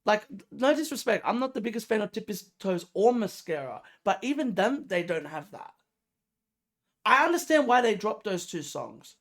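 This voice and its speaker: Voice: mid-range voice, a bit bright. A reaction video host giving casual, slangy music commentary, emphatic and repetitive, often throwing out rhetorical questions. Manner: informal, slangy.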